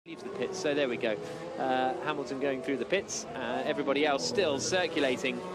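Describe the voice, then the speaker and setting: A man's voice: mid-range voice, brisk, background noise. A man giving live commentary on a motor racing session as the lead commentator.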